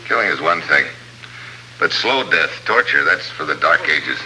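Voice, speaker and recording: An actor playing a tough, cool American undercover agent in 1950s radio drama style, clipped and wry. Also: male voice, rumbling low, animated, moderate pace, bright, forceful tone, exaggerated voice, low-quality recording. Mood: cool, controlled. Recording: old radio recording, faint noise.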